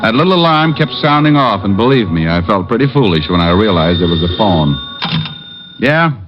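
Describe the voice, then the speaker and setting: An actor playing a wisecracking private eye who narrates and quips in a dry, sardonic way, in 1950s radio drama style. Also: male voice, fairly low pitch, big pitch swings, moderate pace. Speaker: narrator and lead. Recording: dull lo-fi old radio broadcast with faint music.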